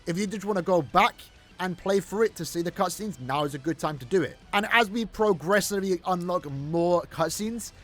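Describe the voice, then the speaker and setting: A man's voice: sits high, moderate pace. A man giving casual, chatty and enthusiastic commentary on gameplay as a gaming YouTuber. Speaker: gaming YouTuber reviewing a mobile game's cutscenes.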